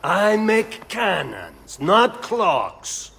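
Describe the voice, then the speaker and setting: An actor playing a grumpy, proud Renaissance cannon maker in a video game, with a gravelly Italian-accented drawl. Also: male voice, high voice, sing-song, extremely slow, pushed and bright, exaggerated voice. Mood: grumpy, dismissive.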